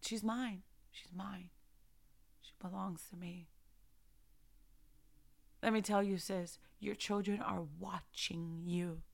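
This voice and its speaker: A woman's voice: medium pitch, steady tone, takes it slowly, creaky, pauses often. A young woman giving an informal preaching talk, urging other mothers with repeated rhetorical questions and fervent exhortations. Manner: shifts between soft reflective testimony and loud urging.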